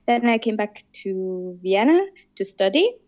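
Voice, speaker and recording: A woman's voice: mid-range voice, very animated, a little slow, lo-fi sound. A woman in her twenties from Austria and Ireland, talking casually as a guest in an interview. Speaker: podcast guest.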